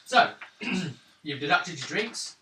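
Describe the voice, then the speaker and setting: A man acting as game master, narrating a tabletop roleplay game in an offhand, halting way. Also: on the high side, very animated, sharp tone. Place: indoor room at gaming table. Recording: echoey room, distant mic, faint noise.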